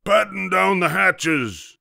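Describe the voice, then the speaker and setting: Storybook pirate captain, played by a male reader: loud, commanding, pushed up high, old sea-dog talk. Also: big pitch swings, measured pace, dry recording, studio quality. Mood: urgent shouting.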